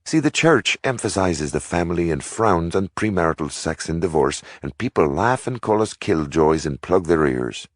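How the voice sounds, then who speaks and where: A man's voice: sits low, expressive, moderate pace, few pauses, dry recording, clean studio sound. A man doing an old Irish priest lecturing. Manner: lecturing, earnest.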